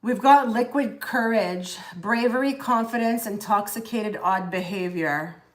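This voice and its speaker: A woman hosts a live tarot stream in a loose, chatty way. She halts, restarts and fills pauses as she reads cards and talks to viewers. Her voice sits at an ordinary middle pitch. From half Egyptian. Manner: chatty, halting, many fillers and restarts. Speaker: tarot reader livestream host. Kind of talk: casual livestream tarot reading.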